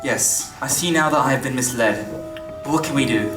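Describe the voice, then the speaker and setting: A woman playing a kindly, well-to-do older gentleman in a staged radio play, formal and full of concern. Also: extremely low pitch, moderate pace, over music. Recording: echoey stage recording from far off.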